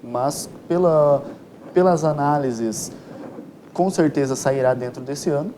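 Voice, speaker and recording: A Brazilian man giving formal, explanatory answers as an expert interview guest, with precise, legalistic wording. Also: fairly high pitch. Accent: Brazilian Portuguese. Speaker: interview guest, electoral lawyer. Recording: clear ambient background noise.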